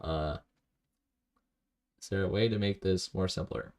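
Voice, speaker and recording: A man streaming a video game, talking to himself in a rambling, low-key way and reading chat aloud. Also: unhurried, darkish tone. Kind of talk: casual live-stream commentary while playing.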